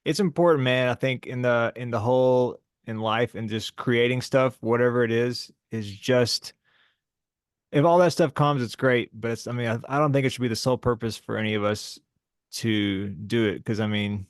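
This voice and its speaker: Casting: relaxed male podcast host, mid-range voice, dry close mic. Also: medium pitch, moderate pace, dry recording. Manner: easygoing, encouraging interviewer. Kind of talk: casual chat.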